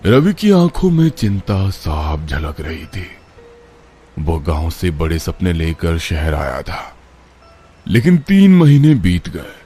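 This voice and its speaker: A man's voice: deep voice, dark tone. A man narrating a scripted horror story in a dramatic, suspenseful way.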